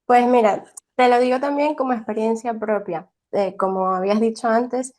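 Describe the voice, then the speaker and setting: A young woman with a slightly high voice, speaking as an early childhood teacher from Venezuela. She answers in a thoughtful, conversational way, often restarting her phrases and ending with a questioning tag. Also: fairly even intonation, moderate pace, a little mellow.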